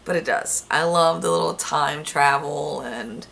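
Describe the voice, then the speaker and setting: Raspy, low-pitched woman chatting casually. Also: unhurried, a bit bright. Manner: chatty, gushing, thinks aloud with fillers. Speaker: vlogger. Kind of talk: casual chat listing favorite movies.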